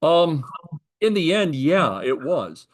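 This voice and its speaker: A man's voice: fairly high pitch, very animated, slow. An older American man in a conversational interview, explaining his working life in careful detail. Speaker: retired air traffic controller being interviewed.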